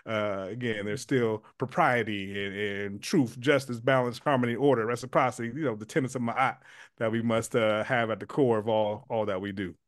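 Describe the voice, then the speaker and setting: A man's voice: mid-range voice, fairly even intonation, normal speed. An earnest man in his forties gives a long, reflective answer as an interview guest. He stops and restarts often. His voice sounds close and dry, with no room echo. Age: 40s. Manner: earnest, many fillers and restarts. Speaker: guest, community leader.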